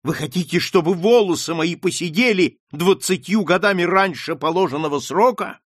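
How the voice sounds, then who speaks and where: A man's voice: a little high, animated, a little slow, no room sound, studio quality. A man voicing an anguished young daughter who pleads with her mother, speaking in an impassioned, rising way in an audiobook reading.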